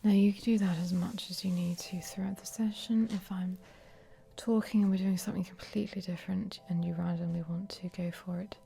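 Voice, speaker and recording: A woman voicing an easygoing, cheeky meditation guide in a breathy ASMR whisper, with gentle, rambling instructions. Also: on the low side, steady tone, brisk. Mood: soothing, gently cheeky. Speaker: ASMR host leading a relaxation session.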